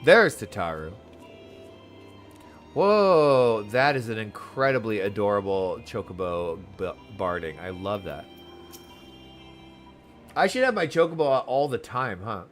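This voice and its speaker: A man's voice: lowish voice, big pitch swings, unhurried, pauses often, faint music behind. A man giving casual game commentary as a streamer, chatty, playful and easily sidetracked. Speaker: gaming streamer.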